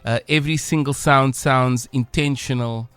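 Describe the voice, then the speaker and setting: An enthusiastic South African man hosts a relaxed radio interview, asking long, rambling questions. His voice sits at an ordinary pitch for a man and comes through dry and close in the studio. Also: moderate pace, clear, resonant tone, no room sound. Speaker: radio host. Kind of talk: casual interview chat.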